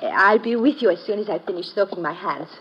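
An actress playing a refined woman who works at a museum and falls under suspicion, speaking in a genteel, expressive 1940s radio-drama manner. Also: medium pitch, fairly quick, close to an everyday voice. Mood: gracious. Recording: dull old radio broadcast with faint hiss.

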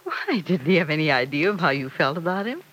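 A woman's voice: low voice, very animated, fast talker, fairly bright tone, slight background noise, low-quality recording. An American actress playing a fond, teasing middle-aged mother in an old-time radio soap opera, with a coaxing, motherly manner. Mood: amused, teasing. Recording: vintage radio transcription.

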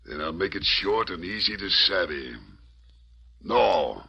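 Ruthless frontier boss, American, gravelly and curt, old radio drama with muffled, hissy sound. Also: male voice, low voice, expressive, a little slow, heavily acted. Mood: scornful. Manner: curt, domineering, scornful. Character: gruff, stubborn, ruthless mine boss in a Western. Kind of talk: old-time radio Western acting. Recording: muffled, noisy vintage radio recording.

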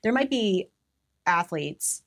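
A woman's voice: medium pitch, lively intonation, slow pace. A woman hosting an interview, engaged and encouraging, asking follow-up questions in a conversational way. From Mexico, Missouri. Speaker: interviewer, host.

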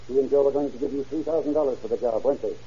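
A man's voice: medium pitch, very fast, dark tone, breathy edge. A man acting a hard, relentless police interrogator in a 1930s radio drama, clipped and accusing. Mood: accusing, pressing. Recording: muffled old radio recording.

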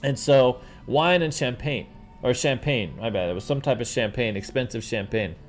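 A man's voice: medium pitch, normal speed, soft background music. Rambling man who keeps saying dude. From New York City. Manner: rambling, animated, says "dude" often. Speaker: host answering viewer questions. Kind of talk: casual livestream chat.

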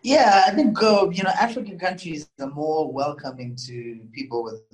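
A man's voice: on the high side, moderate pace, poor audio. A man with a Zimbabwean accent, a musician guest speaking in a casual, conversational interview style.

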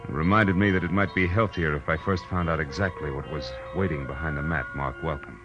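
Very deep, wry hard-boiled private eye. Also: male voice, fairly quick. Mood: brooding, wry narration. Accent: American. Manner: wry, clipped, sardonic. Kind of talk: 1940s radio noir acting, first-person narration and dialogue. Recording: dull vintage radio recording with music.

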